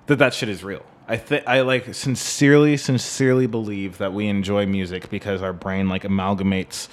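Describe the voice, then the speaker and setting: A man's voice: lowish voice, fairly even intonation, normal speed. A man in a casual music discussion, talking in a rambling way and often checking for agreement with "right?". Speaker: podcast co-host.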